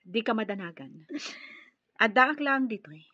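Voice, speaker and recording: Consoling elder sister role, radio drama, steady, husky, dry and dull recording. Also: female voice, on the high side, unhurried, no echo. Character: caring older-sister figure, steady and consoling. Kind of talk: radio drama acting in Ilocano.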